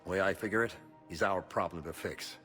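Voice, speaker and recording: An actor playing a bitter, stubborn retired marine captain in a video game, with a terse, gruff delivery. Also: male voice, sits low, moderate pace, bright, forceful tone, faint music behind. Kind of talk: video game cutscene dialogue.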